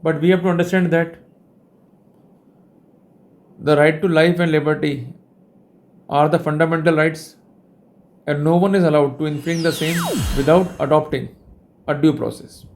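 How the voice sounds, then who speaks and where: A man with an Indian accent giving a formal instructional lecture on his own, in deliberate, measured phrases with short pauses. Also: a little high, fairly even intonation, darkish tone. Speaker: legal educator presenting a lecture.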